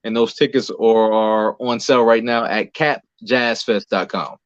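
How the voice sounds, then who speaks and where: A man's voice: lowish voice, steady tone, unhurried, dry recording. A man answering interview questions as a guest in a casual, conversational style. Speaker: interview guest, performer and writer. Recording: dull lo-fi dry audio.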